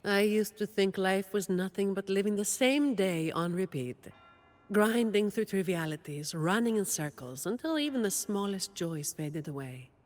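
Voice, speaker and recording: A woman playing a weary, dutiful young office employee in a video game, delivering scripted monologue in a dry, deadpan way. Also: medium pitch, moderate pace, big-room sound, lightly acted. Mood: weary, sincere.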